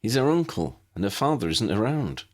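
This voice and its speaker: A man's voice: fairly low pitch, big pitch swings, normal speed, a little mellow, voice pushed up. Man acting an earnest, swooping young man. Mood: argumentative. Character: earnest, reasoning young man, mildly chiding.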